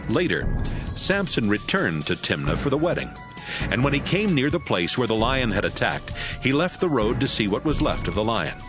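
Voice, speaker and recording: A man narrating a Bible story in a steady, storytelling read. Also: mid-range voice, moderate pace, over music. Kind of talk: scripted scripture narration.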